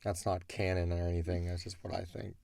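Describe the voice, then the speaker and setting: A man's voice: low voice, level tone, fairly quick, gravelly, crowd noise. A man who creates audio drama, talking casually and colloquially through his story in a rambling way. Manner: rambling, colloquial, often voicing characters' thoughts. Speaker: audio drama creator answering listener questions.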